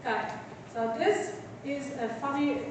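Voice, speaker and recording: A woman's voice: high voice, unhurried, noisy background. A woman with a German accent giving a university veterinary lecture, explaining step by step with many ums and likes. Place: large lecture hall. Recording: echoey hall, voice from far off.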